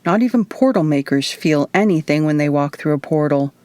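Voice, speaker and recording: A woman's voice: low-pitched, animated, brisk, darkish tone, no echo. Woman voicing a stern, terse man in charge. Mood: serious.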